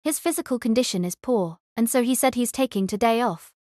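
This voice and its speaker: A reserved teenage schoolgirl, as a male narrator plays her in an audio novel. She answers politely and briefly in a raised, light pitch, with a dry, close sound. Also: a little fast, darkish tone, dry recording.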